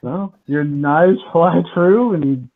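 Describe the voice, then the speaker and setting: A man narrating as game master of a tabletop game, hesitant, often pausing with fillers. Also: on the high side. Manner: hesitant, laughing between lines. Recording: tinny phone-like call audio.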